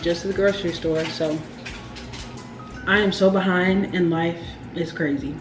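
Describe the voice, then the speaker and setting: A young woman vlogging from her home kitchen, chatting playfully to viewers as she makes a smoothie. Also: fairly low pitch, steady tone, a little slow, music in the background. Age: young adult. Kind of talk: casual vlog chat to camera. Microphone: camera on the counter. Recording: echoey kitchen with household noise.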